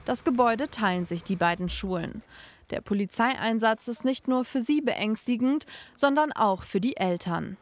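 A woman narrating a local news report in a measured, factual way. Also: a little high, animated, no echo.

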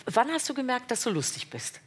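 A woman's voice: on the high side, very animated, fairly quick, fairly bright tone, husky. A woman hosting a talk show interview, asking searching questions with frequent hesitations. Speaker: interview host.

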